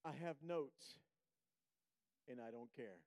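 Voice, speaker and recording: A man preaching a sermon as a guest, slow and emphatic, sniffling a little. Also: medium pitch, lively intonation, a little mellow.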